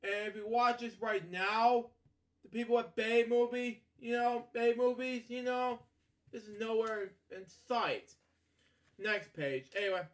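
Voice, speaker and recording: A man with a high-pitched voice reads a children's bedtime story at a slow pace. He is halting, stumbles and repeats phrases, and often breaks off into rambling asides with the same small filler phrases. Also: fairly even intonation. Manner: halting, stumbling over words, repeating himself; frequent filler catchphrases like "okay" and "you know". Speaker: storytelling host.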